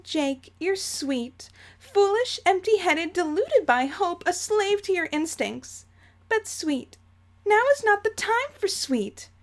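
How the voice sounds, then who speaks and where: A woman's voice: sing-song, takes it slowly, heavily acted. A woman voicing a bitter, scheming empress with a prim, affected voice and a pompous, theatrical manner. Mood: condescending. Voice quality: prim, affected, high-pitched. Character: haughty, bitter, scheming tyrant woman, abandoned empress and mother.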